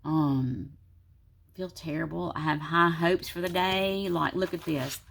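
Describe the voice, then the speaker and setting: A woman's voice: steady tone, a little slow, creaky, a bit bright. A woman, sick and congested with a sore throat, chatting tiredly to viewers from her desk at home. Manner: tired, low-energy, rambling. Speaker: planner vlogger. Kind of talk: casual vlog talk to viewers.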